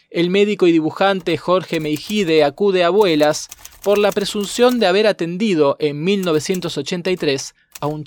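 A man's voice: on the high side, slight background noise. A man reading scripted documentary narration in a formal, factual manner. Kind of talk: scripted narration of dated events.

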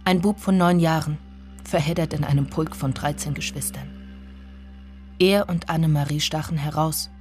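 An actress playing a woman who remembers her lost lover, narrating a literary radio drama in a hushed, deliberate, intimate way. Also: low voice, steady tone, faint music behind, close to an everyday voice. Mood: tense, haunted. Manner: hushed, deliberate, often breathy.

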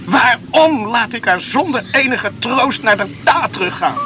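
An actor playing a torn, brooding young islander who talks to himself in agitated self-reproach in a radio play. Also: male voice, very high voice, expressive, unhurried, noisy background. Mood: self-reproachful.

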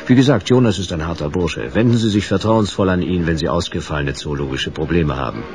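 An actor playing a hard-boiled private detective who narrates a radio play in a dry, sardonic way. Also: male voice, low-pitched, a little fast, a little mellow, slight background noise. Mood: wry, sardonic. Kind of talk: scripted first-person radio play narration.

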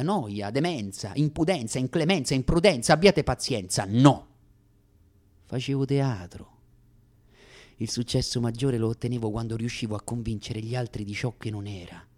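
An actor with a Roman accent playing a variety comedian who tells his life story in a sardonic, dramatic monologue with deliberate pauses. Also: medium pitch, darkish tone, close to an everyday voice. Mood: confiding, somber. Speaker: stage actor. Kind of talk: theatrical radio monologue.

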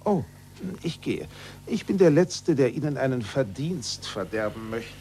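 Patronizing official, animated, noisy recording. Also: male voice, medium pitch, measured pace. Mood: suave, placating. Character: suave, patronizing male university official, smoothly evasive. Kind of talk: 1940s German radio play acting. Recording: noisy old 1940s radio recording.

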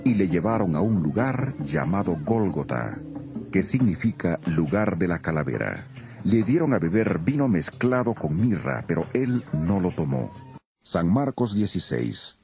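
A man's voice: deep voice, very animated, measured pace, creaky, darkish tone. A man narrating scripture as a solemn, dramatized scripted reading. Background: music.

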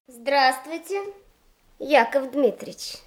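A woman's voice: high-pitched, takes it slowly, background noise. An actress in a radio play voicing an imperious, cheeky schoolgirl who gives emphatic orders to grown-ups. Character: bossy, imperious schoolgirl giving orders to adults. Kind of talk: theatrical radio drama acting.

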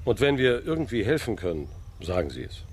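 An actor playing a middle-aged, respected farm owner, calm and courteous, in a naturalistic radio drama. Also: male voice, lowish voice, a little fast, breathy edge. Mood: calm, friendly. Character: respected, kindly, self-assured Kansas farm owner, a family man.

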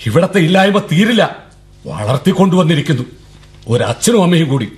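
A bitter rebellious brother. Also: male voice, a little high, big pitch swings, fairly quick, a little mellow. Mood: defiant. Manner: mocking and defiant, with bitter laughs. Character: bitter young man, defiant rebellious brother. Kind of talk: 1970s radio drama acting.

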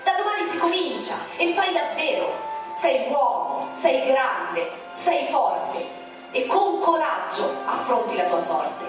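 A woman's voice: very animated, takes it slowly. Woman reciting poetry in a hall. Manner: declamatory, with sharp swings in pitch. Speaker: poetry reader. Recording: very echoey, distant, with music. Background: music.

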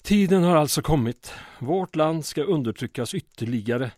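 An actor playing a small-town mayor under occupation in an audio drama, earnest but wry and weary. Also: male voice, on the high side, expressive, a little mellow, no room sound, studio quality.

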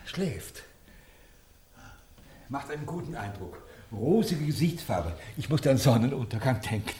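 An actor playing an easygoing, nostalgic artist, a husky man with a hesitant, mildly ironic way of talking, in a radio drama. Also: mid-range voice, big pitch swings, measured pace, mellow, a little hiss or hum. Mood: hushed. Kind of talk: naturalistic German radio drama acting.

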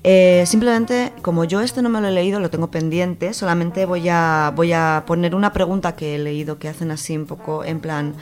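A woman's voice: fairly low pitch, fairly even intonation, moderate pace, few pauses, a little mellow. A woman with an accent from Spain giving an enthusiastic, informal, conversational book review on a radio show, partly from prepared notes.

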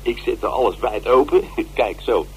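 A man's voice: mid-range voice, expressive, measured pace, a little mellow, breathy edge, exaggerated voice. An actor playing a coarse, gossipy male stagehand in a radio drama, blunt and colloquial. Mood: gleeful. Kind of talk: naturalistic Dutch radio drama dialogue.

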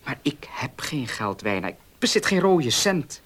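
Husky, earnest young farmer. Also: male voice, medium pitch, big pitch swings, measured pace, fairly bright tone. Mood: troubled, bitter. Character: earnest young horse-loving farmer, proud, short of money. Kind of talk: Dutch radio play acting.

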